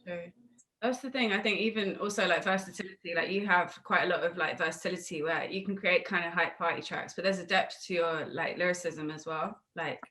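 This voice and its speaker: A woman's voice: on the low side, brisk, bright, forceful tone. A woman hosting a casual, conversational interview over a video call. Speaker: interviewer, host.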